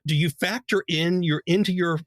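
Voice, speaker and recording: A man's voice: sits high, normal speed, no echo. A man hosting an interview podcast, asking questions and giving brief, encouraging prompts.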